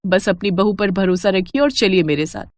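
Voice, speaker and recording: A woman voicing a gentle, caring young daughter-in-law who speaks politely and softly. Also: medium pitch, moderate pace, dry recording, lightly acted. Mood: tender.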